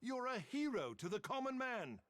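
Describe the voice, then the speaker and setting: A man's voice: very high-pitched, sing-song, moderate pace, fairly bright tone, no echo, full character voice. A male actor playing a hearty, wry adventurer in a video game, teasing with theatrical emphasis. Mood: teasing, animated. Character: hearty adventurer, old friend and rival of a general.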